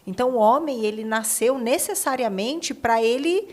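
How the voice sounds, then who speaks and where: A woman's voice: a little high, lively intonation, moderate pace, darkish tone. A Brazilian woman hosting a conversational podcast interview, speaking emphatically and colloquially and often checking for agreement. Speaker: podcast host.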